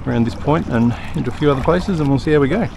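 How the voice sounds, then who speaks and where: Australian man, relaxed vlog chatter, fillers, outdoor action-cam audio with clear wind and water noise. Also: mid-range voice, racing. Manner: laid-back, rambling, lots of fillers. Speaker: fishing vlogger. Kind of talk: casual narration while kayak fishing. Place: kayak on a lake outdoors. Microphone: action camera on the kayak.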